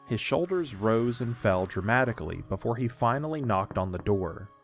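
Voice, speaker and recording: Guy narrating an audio drama, low voice, soft music underneath. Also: lively intonation, normal speed.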